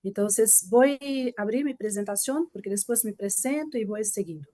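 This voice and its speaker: A woman's voice: mid-range voice, expressive, normal speed, dark tone, dry recording, clean studio sound. A woman whose first language is Portuguese, speaking with a Brazilian accent and giving a polite, hesitant guest lecture over a video call. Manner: hesitant, polite, restarts often. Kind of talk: online lecture presentation.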